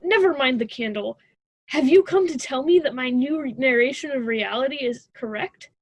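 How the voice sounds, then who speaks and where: A woman's voice: high voice, normal speed, slight background noise. A young woman playing a self-important, scheming college student in a radio drama, with a grandiose theatrical delivery. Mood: haughty. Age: young adult.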